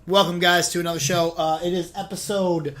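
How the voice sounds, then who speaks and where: A man's voice: sits high, a little fast. A man hosting his own podcast in a loose, rambling chat, sounding nasal and stuffed up from a head cold. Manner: rambling, relaxed, talks straight to listeners. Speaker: podcast host. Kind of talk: casual solo podcast chat.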